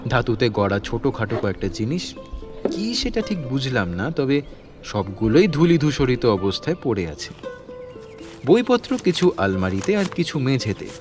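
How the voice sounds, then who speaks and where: A man narrating a scripted detective story as its narrator. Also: mid-range voice.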